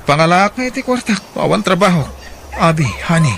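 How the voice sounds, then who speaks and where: A man's voice: sits high, expressive, fairly quick, vocal fry, background noise. A man with an Ilocano accent playing a harsh, hot-tempered husband and father in a radio drama, scolding and emphatic. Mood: pleading, amorous.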